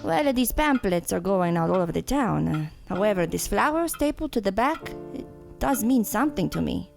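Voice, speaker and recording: A woman's voice: mid-range voice, very animated, moderate pace, creaky, soft background music. A woman playing a genteel, sorrowful nun in an audio drama, speaking formally and theatrically, with hesitations. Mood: wistful. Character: genteel, sorrowful nun, former orphanage headmistress.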